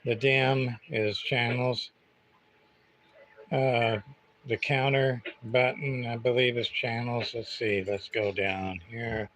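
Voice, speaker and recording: A man's voice: mid-range voice, fairly even intonation, takes it slowly, a bit bright, poor audio. A man hosting a casual livestream demonstration, thinking aloud in a hesitant, rambling, muttering way. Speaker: livestream host, CB radio repairer. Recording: clear background noise.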